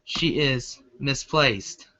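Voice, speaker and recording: Bright-voiced man reading a story, lo-fi. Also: mid-range voice, extremely slow. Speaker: amateur fan fiction reader. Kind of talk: reading a first-person story aloud. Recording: dull lo-fi home recording.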